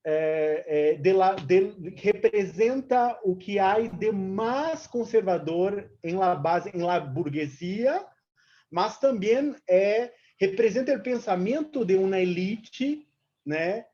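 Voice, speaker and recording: A woman's voice: medium pitch, animated, takes it slowly, mellow. A Brazilian woman, a native Portuguese speaker, giving an informal seminar talk as an activist, mixing Portuguese and Spanish and searching for words, over a lo-fi online call. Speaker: activist speaker, movement representative. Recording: dull lo-fi online call audio.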